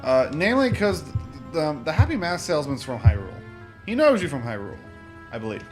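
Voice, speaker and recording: A man's voice: on the high side, fairly quick. Young male gamer host, loose rambly commentary, game music underneath. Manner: rambling, excitable, lots of fillers and self-corrections. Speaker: gaming channel host. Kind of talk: casual let's-play commentary. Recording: game music playing behind voice. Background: video game music.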